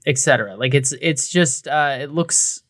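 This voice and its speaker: A man's voice: medium pitch, normal speed, a bit bright. A man co-hosting a podcast, talking casually with a wry, joking manner. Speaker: co-host of a craft beer podcast.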